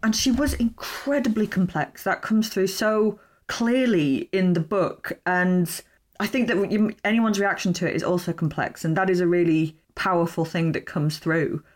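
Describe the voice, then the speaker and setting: A woman's voice: fairly low pitch, moderate pace. Enthusiastic Yorkshire woman podcast host. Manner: enthusiastic, friendly. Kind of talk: podcast interview and outro.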